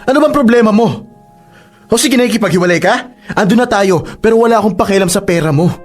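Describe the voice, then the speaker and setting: A young Filipino college boyfriend in a radio drama, with a high-pitched voice over music. He is affectionate and emotional, with a pleading way of talking. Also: fast. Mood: confused, demanding. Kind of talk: Tagalog radio drama acting. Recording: music behind the voice.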